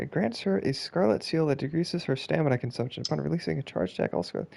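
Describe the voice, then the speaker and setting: A man's voice: mid-range voice, vocal fry, dark tone. A man streaming a video game with casual commentary, blunt, sweary and given to exasperation. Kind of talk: casual gaming commentary with reading of on-screen text.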